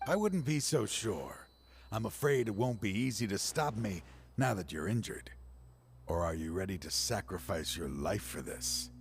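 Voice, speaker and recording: An actor playing a grieving older man, once a partner of the hero, who speaks in a measured, weary and somber way in a game cutscene. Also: fairly low pitch, animated, a little hiss or hum. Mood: taunting. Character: grieving older male ex-partner turned foe.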